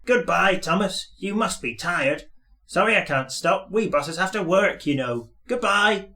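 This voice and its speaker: A man's voice: high-pitched, expressive, a little slow, bright, forceful tone, voice pushed up, heavily acted. A man voicing a boastful, breezy male bus, a smug and taunting rival in a children's storybook.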